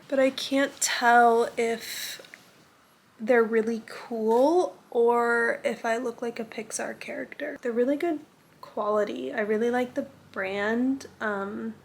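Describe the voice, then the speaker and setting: Young woman, vlog host, husky cold-roughened voice, pitched a touch high, chatty filler-heavy delivery. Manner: chatty, says "like" often. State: recovering from a cold, a little raspy. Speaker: lifestyle vlogger. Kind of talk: casual chat to camera.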